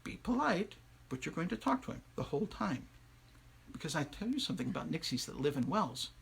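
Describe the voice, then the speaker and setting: A man voicing a village wise woman in a fairy tale, giving advice in a folksy, deliberate manner. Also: a little high, big pitch swings, gravelly, a bit bright, breathy edge, exaggerated voice. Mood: knowing, instructive.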